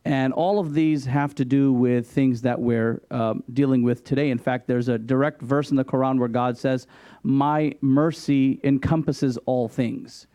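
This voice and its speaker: A man speaking as an imam at a public hearing panel, explaining his faith in a measured way with light self-deprecating humor. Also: medium pitch, steady tone, mellow, no room sound.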